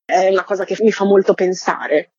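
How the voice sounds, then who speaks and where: A dry, muffled recording carries a woman with a husky voice. She is a theatre guest in a radio interview, talking in a reflective, conversational manner. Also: mid-range voice, fairly even intonation, brisk, darkish tone, no echo.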